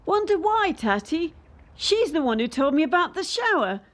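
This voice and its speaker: A woman's voice: extremely high pitch, sing-song, normal speed, a bit bright, full character voice. A woman acting in a radio play as a bitter, defiant young elite gymnast under pressure. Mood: bitter, wary.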